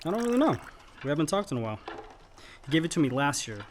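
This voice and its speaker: Young adult brother, American, higher voice, wry, laconic, naturalistic radio drama, faint noise. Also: male voice, big pitch swings, rapid-fire. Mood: wry, relaxed. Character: dry, self-contained solitary adult brother. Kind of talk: naturalistic radio play acting.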